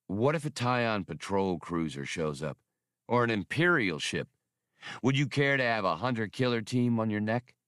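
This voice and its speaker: A man as a low-voiced, cautious older adventurer. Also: very animated, moderate pace, a bit bright, no echo, clean studio sound. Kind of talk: audiobook character voice.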